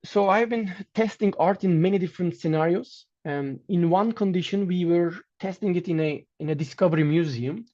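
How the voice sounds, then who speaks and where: Guest lecturer, male, Turkish native speaker, fairly high voice, measured delivery with restarts, dull lo-fi sound. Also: warm tone. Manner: measured, with frequent restarts and fillers. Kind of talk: online academic lecture with slides.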